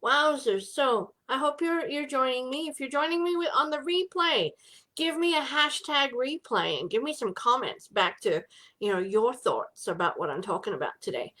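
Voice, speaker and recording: A woman's voice: high-pitched, expressive, normal speed, a bit bright. A middle-aged woman hosting a casual live business chat from home, bubbly and greeting viewers by name.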